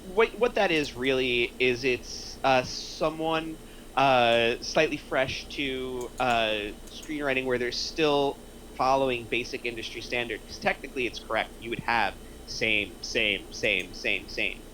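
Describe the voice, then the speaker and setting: A man's voice: mid-range voice, unhurried, sharp tone, slight background noise, low-quality recording. A man giving casual, explanatory feedback in a group discussion, using examples as he goes. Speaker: script feedback panelist. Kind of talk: casual group critique of a screenplay.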